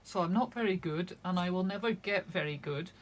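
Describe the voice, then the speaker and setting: A woman's voice: lowish voice, a little fast. An older woman from Shetland, a crime novelist being interviewed, chatting in a wry, storytelling way. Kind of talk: casual interview chat.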